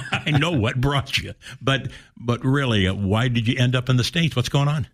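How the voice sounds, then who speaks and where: A man's voice: medium pitch, normal speed. A man hosting a radio interview, asking folksy, rambling questions and correcting himself as he goes. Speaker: radio talk show host.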